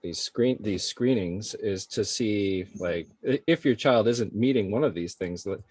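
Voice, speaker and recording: A man's voice: lowish voice, measured pace, a little mellow, husky, no room sound. A man explaining things to parents in a casual, loosely phrased way as a program facilitator. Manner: informal, hedging with frequent "like". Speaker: early childhood program facilitator.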